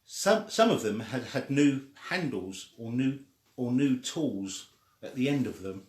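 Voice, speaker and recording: A man's voice: mid-range voice, animated, unhurried. A man reading a children's story aloud in an informal, homespun way. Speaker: story reader. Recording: echoey room.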